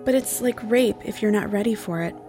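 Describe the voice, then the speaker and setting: A woman's voice: medium pitch, brisk, mellow, soft background music, natural voice. A woman voicing a guarded, troubled young telepath in an audiobook, speaking tersely and earnestly. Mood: somber, confiding. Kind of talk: audiobook character dialogue.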